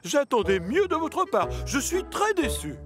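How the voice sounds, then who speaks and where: A man playing a stern, authoritative railway boss in a children's cartoon, speaking deliberately. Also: extremely high pitch, big pitch swings, exaggerated voice. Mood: stern, disappointed. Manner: stern, deliberate, hesitant hums. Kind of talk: French children's cartoon dub. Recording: music behind the voice.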